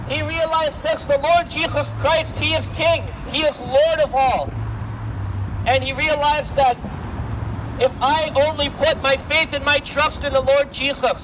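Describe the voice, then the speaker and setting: Very high-pitched young male street preacher. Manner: earnest, declamatory, projecting, repetitive direct address. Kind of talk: open-air gospel sermon. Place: outdoors on a busy city sidewalk. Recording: noisy city street, traffic ambience.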